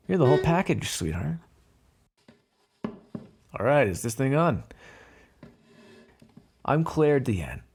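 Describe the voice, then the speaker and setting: A man playing a flirtatious, menacing mob boss in an ASMR roleplay, smooth-talking in a teasing, insinuating way with drawn-out phrasing. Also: medium pitch, a little mellow, full character voice. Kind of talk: ASMR roleplay voice acting, one-sided dialogue.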